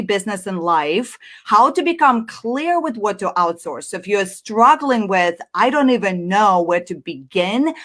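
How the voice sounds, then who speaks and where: A woman in her thirties from Russia, with a Russian accent, giving an energetic and chatty live online business presentation. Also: mid-range voice. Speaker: business speaker.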